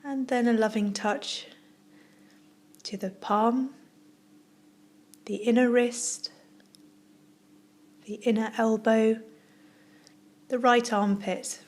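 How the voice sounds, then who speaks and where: A woman yoga teacher leading a guided relaxation, speaking softly and soothingly in short phrases with long pauses. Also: a little high, steady tone. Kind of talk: guided meditation, yoga nidra narration.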